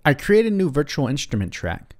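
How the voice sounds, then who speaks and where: A man's voice: on the high side, huge pitch swings, fairly quick. A man narrating a scripted instructional tutorial in a methodical, step-by-step way.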